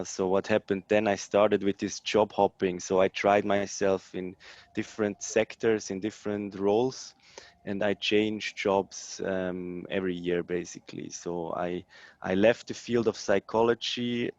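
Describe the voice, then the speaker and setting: A man in his thirties from Switzerland, answering interview questions in a hesitant way with many pauses and ums. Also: lowish voice, no echo, muffled recording. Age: 30s. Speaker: social entrepreneur guest.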